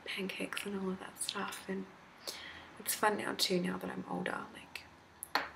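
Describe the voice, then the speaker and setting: A woman in her twenties whispering a rambling ASMR chat, with a slightly deep whisper, recorded on a laptop in a candlelit room at home. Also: monotone, measured pace, creaky. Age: 20s. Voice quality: slightly deep whisper, not soft-spoken. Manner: rambling, chatty, laughs often. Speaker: ASMR creator. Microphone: laptop mic. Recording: grainy noisy laptop recording.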